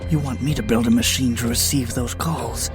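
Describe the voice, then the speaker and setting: A man playing a polite, hesitant scientist who speaks haltingly and deferentially in an amateur audio drama. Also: mid-range voice, steady tone, over music, lightly acted. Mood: hesitant, puzzled. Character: hesitant, polite male scientist, software engineer.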